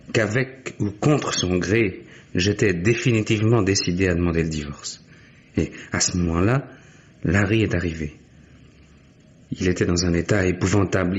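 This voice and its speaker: Acteur en mari las, voix grave et sourde. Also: male voice, deep voice, natural voice. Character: weary troubled husband confiding to a friend. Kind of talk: old-time French radio mystery acting. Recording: dull vintage radio recording.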